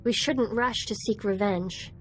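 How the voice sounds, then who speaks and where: Principled young female ally woman acting, earnest and careful, average pitch, game cutscene with clear music. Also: measured pace, close to an everyday voice. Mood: earnest, cautious.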